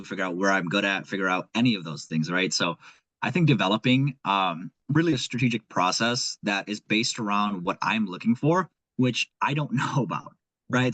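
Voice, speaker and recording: A young man with a Nepali accent, talking as a guest in an earnest, thinking-aloud way. His voice sits fairly low. The sound is close and dry. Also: a bit bright, no room sound. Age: young adult. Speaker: guest, aspiring investor. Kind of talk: casual conversation.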